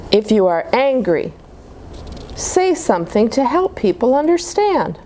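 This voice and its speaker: A woman reading picture books aloud to young children in an expressive, explaining manner. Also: a little high, a little slow, a little hiss or hum. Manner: animated, simple explanations to children. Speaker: children's storybook reader at a church.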